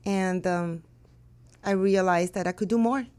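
A woman from Cuba, a school owner, answering interview questions in a measured way with some hesitations. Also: mid-range voice, steady tone, darkish tone, smooth. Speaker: school owner and director, guest.

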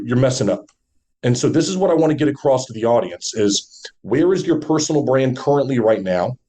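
A man with a deep voice, a podcast guest giving confident motivational advice on branding, asking rhetorical questions. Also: warm tone, poor audio.

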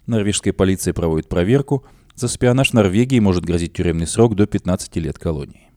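A man reading a news report on a radio program, running through quotes in the same steady reading voice. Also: deep voice, quick pace. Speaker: news presenter.

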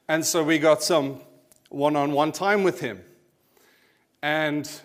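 A South African man in his forties, a pastor giving a conversational sermon with personal stories and self-deprecating asides. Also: a little high. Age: 40s.

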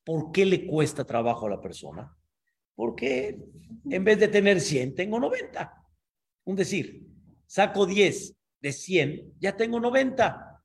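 A man's voice: high-pitched, big pitch swings, unhurried, gravelly. A man, a rabbi teaching a Torah class and explaining patiently, often checking that listeners follow. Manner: explanatory, checks understanding with frequent asides.

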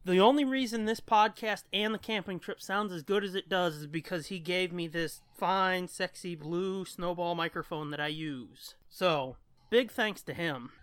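A man's voice: high-pitched, normal speed, fairly bright tone, clear, resonant tone, no room sound. A man co-hosting a podcast in casual banter, joking and crude with plenty of swearing. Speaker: podcast co-host.

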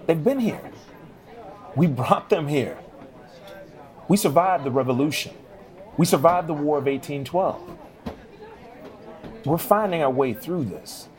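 A man playing a friendly, steady, hopeful American barman, plain-spoken and reassuring, in an audio drama. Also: fairly high pitch. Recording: music behind the voice.

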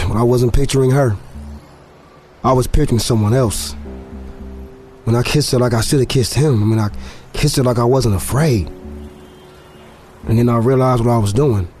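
A man's voice: mid-range voice, moderate pace, pauses often, darkish tone, close to an everyday voice. Casting: man to play conflicted young football player, understated and confessional, audio drama narration and dialogue, scored with music. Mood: introspective. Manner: casual, understated, slangy.

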